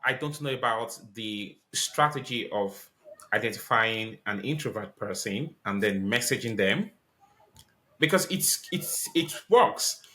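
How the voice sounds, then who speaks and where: A man's voice: fairly low pitch, lively intonation, unhurried, pushed and bright. A man hosting a podcast interview, asking questions politely with many ums and restarts. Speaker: podcast host.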